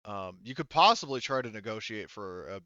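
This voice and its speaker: A man with a low, clean voice runs a tabletop game as game master. He rambles casually and thinks aloud, and the audio is very dry and slightly dull. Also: expressive, brisk, bright, forceful tone, no room sound. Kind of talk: casual tabletop game narration over voice chat. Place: online voice call.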